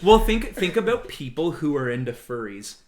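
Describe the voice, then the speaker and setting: A man's voice: on the high side, big pitch swings, normal speed, crowd noise. A young man chatting casually as a podcast co-host, with crude, slangy, joking banter.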